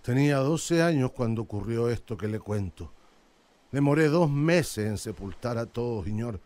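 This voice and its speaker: An actor as a mellow, grieving old man. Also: medium pitch, expressive, normal speed, no echo, natural voice. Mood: sorrowful, haunted. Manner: laconic, almost absent telling. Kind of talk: radio drama acting.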